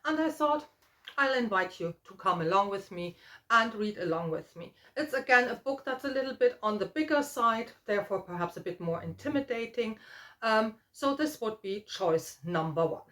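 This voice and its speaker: A middle-aged woman talking casually to viewers as a book vlogger, in a halting, thoughtful way with trailing asides. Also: fairly high pitch, lively intonation, a bit bright. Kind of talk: casual book chat to camera.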